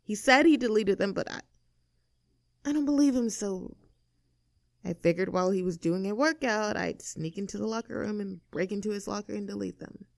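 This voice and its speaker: A woman's voice: medium pitch, normal speed, creaky, no echo, close to an everyday voice. Bratty young mean girl, acted by a woman, close and dry. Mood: confiding, guarded. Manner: bratty, sarcastic, indignant. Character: snooty, abrasive young mean girl under arrest. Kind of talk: acted audio roleplay drama, addressing the listener.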